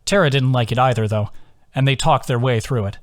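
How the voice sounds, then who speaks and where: A man's voice: mid-range voice, fairly quick, no room sound, clean studio sound. A man reading scripted fiction as an audiobook, narrating and voicing the dialogue. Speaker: author reading own audiobook.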